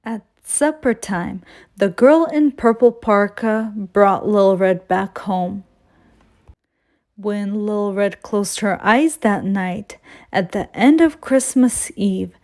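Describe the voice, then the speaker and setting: A woman hosts a storytime, reading a picture book aloud for children. She takes her time with very slow phrasing and an expressive delivery. Her voice sits at an average pitch. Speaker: children's storytime reader and host.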